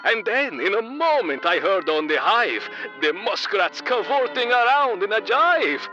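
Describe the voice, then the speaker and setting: A doting creature father in a comedic audio play, reciting with theatrical flair. His voice is high and swoops widely. The sound is slightly dull. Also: very animated, pushed and bright, full character voice. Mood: playful storytelling. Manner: theatrical storytelling, halting. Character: doting alien creature papa reciting a holiday poem. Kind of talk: comedic audio drama acting. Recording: dull muffled sound under music.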